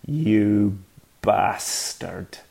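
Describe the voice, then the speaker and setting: A man's voice: low-pitched, little pitch movement, normal speed, dark tone. A man voicing a bitter, drunken mother who hisses insults down a phone line. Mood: venomous. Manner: hissing, venomous.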